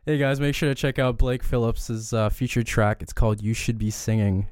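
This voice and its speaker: A young man co-hosting a student radio show, relaxed and agreeable in conversation. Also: medium pitch, moderate pace, smooth, faint music behind. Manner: relaxed and agreeable, short replies. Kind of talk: casual radio chat.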